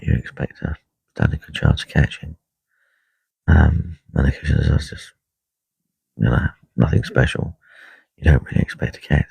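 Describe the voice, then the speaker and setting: A man with a croaky voice talking quietly and unhurriedly on a live stream from a lake bank, full of ums and uhs. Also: extremely low pitch, dry recording. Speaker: carp angler livestreaming. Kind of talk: casual live stream chat. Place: lakeside fishing swim outdoors. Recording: dull lo-fi live stream audio.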